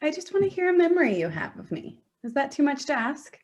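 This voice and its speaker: A woman's voice: high-pitched, very animated, quick pace, darkish tone. A woman playing a hurt, sarcastic adult daughter in a staged play reading, dry and confrontational with her mother. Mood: insistent, hurt.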